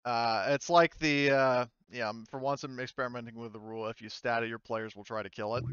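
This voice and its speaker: Clear-voiced man running a tabletop game. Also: medium pitch, fairly even intonation, fairly quick, a bit bright, no room sound, dull audio. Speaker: game master. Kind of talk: casual tabletop game narration and rules talk.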